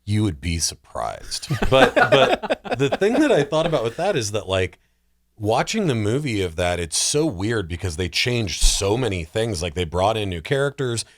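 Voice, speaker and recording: A man on a podcast panel chatting casually about movies in an animated, jokey way. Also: on the low side, normal speed.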